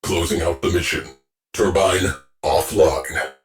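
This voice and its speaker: A deep, clipped ship AI. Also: male voice, slow. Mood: terse. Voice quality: clipped, deadpan military delivery. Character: stern male ship AI officer, terse, dry. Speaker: ship's AI officer. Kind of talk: video game voice lines.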